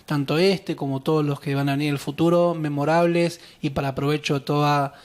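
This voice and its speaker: A man in his twenties with a Buenos Aires accent, a talk show guest telling his story in an earnest, measured way with frequent fillers. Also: on the high side, flat delivery. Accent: Buenos Aires Spanish. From Argentina. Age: 20s. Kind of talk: personal account on a talk show.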